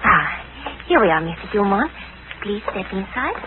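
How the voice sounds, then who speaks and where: A woman's voice: mid-range voice, big pitch swings, moderate pace, lightly acted. A woman playing a dramatic female character in an old-time radio serial. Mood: composed. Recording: tinny, noisy vintage radio recording.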